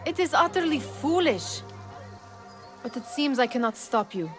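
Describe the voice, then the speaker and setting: A woman voicing a sharp-tongued young street thief in a historical game drama. She speaks at a middling pitch in formal, dry phrases. Her manner is skeptical and chiding, with music clearly playing behind. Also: normal speed, lightly acted. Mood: exasperated, chiding. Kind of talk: dramatic video game voice acting.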